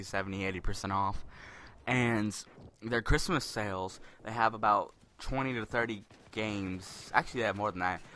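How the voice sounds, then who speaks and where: A young man with a deep voice giving rambling, spontaneous commentary over gameplay, a little self-conscious. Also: sharp tone, slight background noise.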